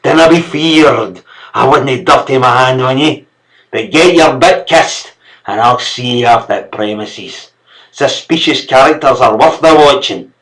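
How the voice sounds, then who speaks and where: A man voicing a domineering Scottish householder who speaks quietly and menacingly, with a Scots accent. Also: medium pitch, a little slow, natural voice, low-quality recording. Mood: cold menace.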